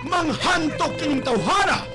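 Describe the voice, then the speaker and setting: A wealthy, overbearing Filipino father in a radio soap. His voice is high and swings widely as he sneers and bellows theatrically. Loud dramatic music runs behind him. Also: lively intonation, unhurried. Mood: contemptuous. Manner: theatrical, scornful, bellowing outbursts. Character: domineering, snobbish, wealthy father raging at his daughter. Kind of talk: melodramatic radio drama acting. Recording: live stream with loud music bed.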